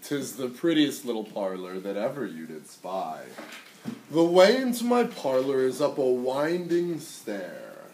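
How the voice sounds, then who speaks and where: A man voicing a cunning male spider from a storybook, coaxing his prey in slow, drawn-out flattery. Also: medium pitch. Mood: inviting. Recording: roomy, distant mic, faint noise.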